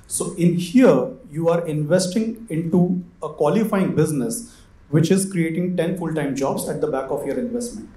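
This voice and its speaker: A man's voice: on the high side, moderate pace, warm tone. A man explaining things as a specialist panelist at a conference. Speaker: panelist, investment visa specialist. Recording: echoey room sound.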